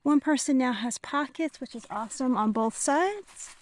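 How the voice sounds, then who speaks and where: A woman chatting casually to viewers in an unscripted, musing way with trailing pauses, as a hiking vlogger recording outdoors. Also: high-pitched. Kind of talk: casual vlog chat to viewers. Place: outdoors, on a walk and at a tent setup. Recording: noisy outdoor camera recording with natural ambience.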